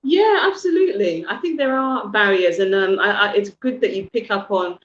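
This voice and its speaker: A woman hosts a casual talk, thinking aloud as she speaks and pausing on "um" and "you know". Her voice sits at a middle pitch. The sound is muffled and lo-fi, as on a video call. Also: lively intonation, echoey room, slight background noise. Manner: thoughtful and reflective, with fillers and restarts. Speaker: host. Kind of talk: casual chat.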